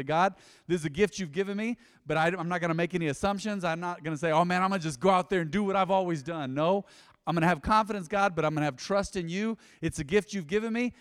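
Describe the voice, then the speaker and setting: A man preaching a sermon as pastor, animated and conversational, with frequent asides to the congregation. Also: high-pitched, in a large hall. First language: English. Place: church.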